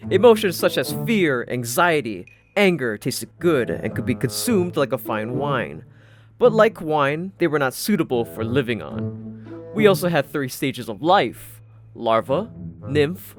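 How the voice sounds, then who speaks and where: A man narrating a first-person story from a script in a dry, sardonic manner. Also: fairly high pitch. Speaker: audiobook narrator.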